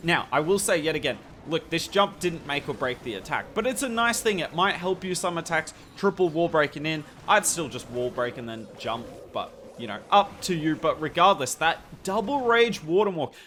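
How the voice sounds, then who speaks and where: A man commentating a strategy game over footage, enthusiastic and conversational, with a slightly hoarse voice. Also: on the high side, big pitch swings, moderate pace, hardly any pauses, bright, forceful tone, slight background noise. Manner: enthusiastic, conversational, points things out to viewers. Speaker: gameplay commentator.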